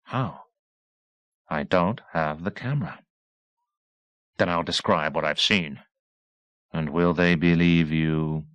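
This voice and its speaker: A male narrator voices a hardened soldier in an audiobook novel. He is low-pitched, terse and brooding, weighing each clipped phrase, in a close, dry recording. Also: takes it slowly, long pauses, fairly bright tone, smooth, no echo, dull audio. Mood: brooding, frustrated. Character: Rambo, brooding hardened male war veteran.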